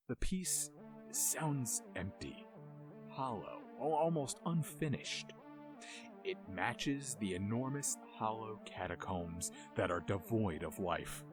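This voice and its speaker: A man narrating a scripted video essay as its host, with an expressive, dramatic storytelling delivery. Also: medium pitch. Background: music under the voice.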